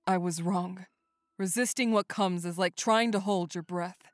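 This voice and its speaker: A woman voicing a cruel dream phantom of a dead wife, speaking slowly and coaxingly. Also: lowish voice, fairly even intonation, no echo, studio quality, natural voice. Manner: slow, coaxing, then shrieking. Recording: faint music behind.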